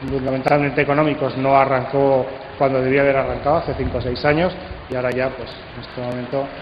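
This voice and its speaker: A man with an accent from Spain moderating a session, talking conversationally to an audience in short, measured phrases. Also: mid-range voice. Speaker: speaker moderating a congress session. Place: large hall at a radio drama congress. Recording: echoey hall, dull sound, clear background noise.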